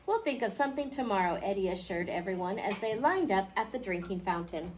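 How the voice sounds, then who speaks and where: A woman reading a picture book aloud to a class, expressively, pausing to question her young listeners. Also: medium pitch, lively intonation. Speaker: children's storybook reader. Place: indoor school classroom. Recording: clearly noisy classroom recording.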